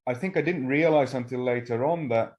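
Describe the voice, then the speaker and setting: A middle-aged man from Finland, a native Swedish speaker, telling his personal recovery story at a meeting with halting pauses and restarts. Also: medium pitch, a little mellow, dull audio. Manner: halting, frequent restarts and fillers. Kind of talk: personal testimony talk.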